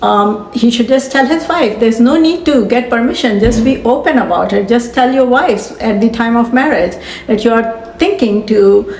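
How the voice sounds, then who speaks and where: A woman from Pakistan, making an earnest, firm argument in opinion commentary, pausing to reason through each point. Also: a little high, darkish tone, echoey room, slight background noise, low-quality recording.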